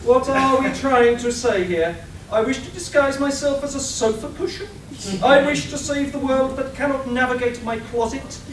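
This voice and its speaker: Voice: high voice, moderate pace, close to an everyday voice. A haughty robot couturier acted out by a live performer, caught in a roomy space at a distance. The delivery is pompous, affected and dramatic, sprinkled with French flourishes, for a comic radio play. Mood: disdainful, flamboyant. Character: haughty, theatrical robot tailor with French fashion-designer airs. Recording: roomy distant live recording with background noise.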